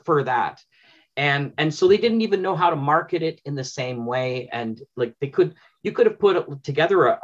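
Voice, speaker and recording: A man in his fifties with a Canadian accent chats casually and enthusiastically, telling anecdotes with halting, repeated words over a video call. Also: a little high, a bit bright. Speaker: interview guest, audio drama producer. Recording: slightly dull, lo-fi video call.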